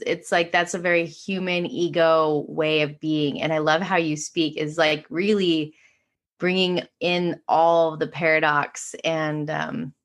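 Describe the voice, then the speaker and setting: A woman's voice: low-pitched, a bit bright. A woman talking about spiritual awakening in an earnest, flowing way, with frequent "like" fillers. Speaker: podcast guest, spiritual teacher. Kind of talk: casual spiritual conversation.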